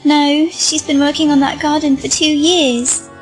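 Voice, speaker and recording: A woman's voice: high-pitched, little pitch movement, a little slow, soft background music, rough recording. A woman playing a frightened, earnest young girl in an audio drama.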